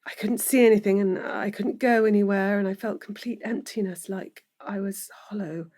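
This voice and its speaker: An actress playing an introspective, emotionally exposed middle-aged woman lawyer in an audio drama, speaking in a measured, earnest way. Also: mid-range voice, fairly even intonation, dark tone, close to an everyday voice. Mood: haunted, reflective.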